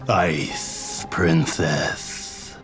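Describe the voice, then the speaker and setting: A man playing a grave, menacing young general in an audio drama table read, speaking with deliberate weight. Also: extremely low pitch, steady tone, over music, full character voice. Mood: cool, formal.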